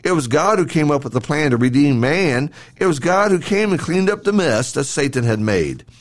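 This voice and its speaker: A man reads a devotional sermon as a podcast host. His voice sits a little high for a man and moves through lively rises and falls. The delivery is earnest and emphatic, built on repeated phrases and rhetorical questions. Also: normal speed. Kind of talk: devotional sermon read from a script.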